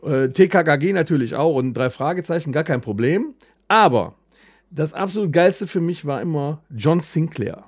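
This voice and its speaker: A man's voice: on the high side, expressive, normal speed, no room sound, studio quality. A man chatting casually and enthusiastically as a podcast host, in colloquial language. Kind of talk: casual chat.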